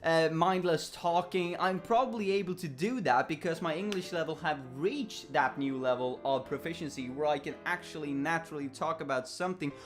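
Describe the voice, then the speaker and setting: A man with a Swedish accent talks through his thoughts in a loose, rambling way, stopping to correct himself and leaning on ums and uhs. His voice sits a little high for a man. Soft music plays faintly underneath. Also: moderate pace, hardly any pauses. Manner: rambling, self-correcting, lots of fillers and restarts. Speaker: vlogger. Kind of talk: casual talking-head reflection.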